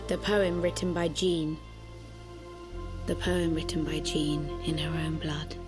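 An actress playing a soft, ominous inner voice that narrates an audio drama in a measured, suspenseful way. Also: female voice, deep voice, flat delivery. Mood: hushed suspense. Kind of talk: slow, hushed dramatic narration in the first person. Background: music.

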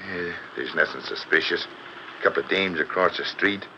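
A man's voice: sits low, normal speed. An actor playing a cocky, dismissive gangster henchman in a 1940s radio drama, with slangy, ungrammatical tough talk. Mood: breezy, confident. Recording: noisy, muffled old radio broadcast.

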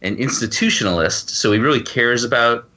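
A man's voice: mid-range voice, brisk, bright, forceful tone. A middle-aged man talking casually as a podcast guest, stammering a little and thinking aloud as he answers. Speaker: guest novelist and law professor. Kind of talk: casual conversational podcast interview.

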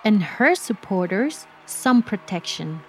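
A woman narrating a scripted biographical story for children in a measured, expressive way. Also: mid-range voice, a little hiss or hum, poor audio. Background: music bed.

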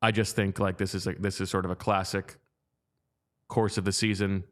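A man with a fairly low voice talks sports in a relaxed, conversational way. He fills his sentences with fillers and pushes back quickly when he disagrees. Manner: conversational, lots of fillers, sharp pushback. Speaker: podcast co-host. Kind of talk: casual sports chat.

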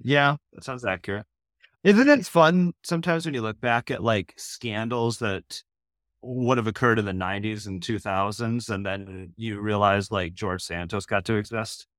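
A man's voice: fairly low pitch, moderate pace, fairly bright tone, no echo, clean studio sound. A man talking casually and comedically as a podcast guest, with a wry, sarcastic manner.

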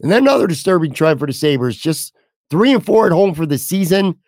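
A hoarse man, slightly high-pitched for a man, running a solo sports talk show. He talks bluntly and casually and lets a curse slip now and then. The sound is dry and close, with no room echo. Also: sing-song, brisk, a little mellow. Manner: candid, blunt, casual with mild swearing. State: losing his voice, hoarse. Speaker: podcast host.

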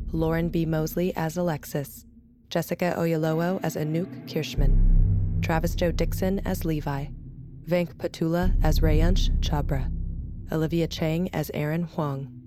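A woman announcer reading scripted credits in a measured, matter-of-fact way. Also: low voice. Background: loud music.

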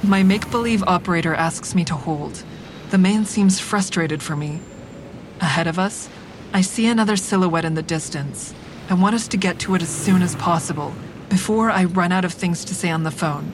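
Over clear rumbling traffic noise, an actress plays a hard-edged woman narrating her own drama. Her voice is on the low side, and her delivery is wry, frank and deliberate, in clipped sentences. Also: lightly acted. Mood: watchful, reflective. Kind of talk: scripted first-person dramatic narration.

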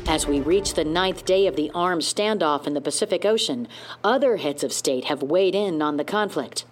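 A formal female news reporter in an audio drama. Her voice sits slightly low as she reads a scripted bulletin. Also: expressive, normal speed, faint music behind. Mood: serious.

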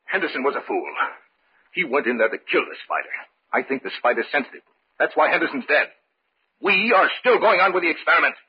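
A man's voice: a little high, very animated, fast talker. A man playing an obsessed, stubborn scientist in old-time radio style, intense and melodramatic. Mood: defiant. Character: obsessed, stubborn male scientist defending his experiment. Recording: muffled, very noisy old radio recording.

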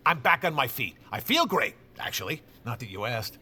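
An actor playing a blustery, mocking boss with a brash, needling manner in an audio drama. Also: male voice, mid-range voice, big pitch swings, moderate pace, pushed and bright. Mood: blustering. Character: blustery, mocking male boss, a family man.

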